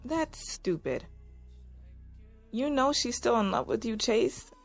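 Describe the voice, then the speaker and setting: A woman acting a sharp-tongued, jealous but caring lover in naturalistic audio drama dialogue. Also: a little high, animated, slow pace, gravelly, heavily acted. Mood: skeptical, jealous. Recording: music behind the voice.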